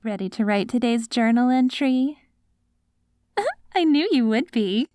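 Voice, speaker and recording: Casting: woman to play doting postapocalyptic wife for ASMR roleplay, higher-pitched voice, sweet and patient coaxing, slow delivery. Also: expressive, dark tone, dry recording. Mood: pleased, upbeat. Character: doting wife caring for her ghoul husband after apocalypse. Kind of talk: ASMR roleplay audio drama.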